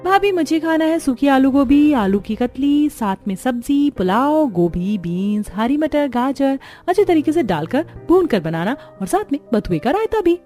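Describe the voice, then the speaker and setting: A woman's voice: high voice, sing-song, moderate pace, few pauses, warm tone, faint music behind. A woman voicing an eager, demanding young sister-in-law in a cartoon family drama.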